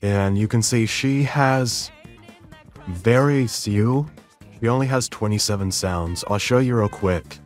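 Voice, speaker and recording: A man narrating a step-by-step software tutorial in a casual, explanatory way, as the host of the lesson. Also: on the low side, slow, soft background music.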